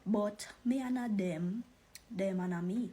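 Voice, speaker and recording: A woman's voice: mid-range voice, measured pace, mellow, a little hiss or hum. A woman ranting casually in Jamaican patois. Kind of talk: casual rant.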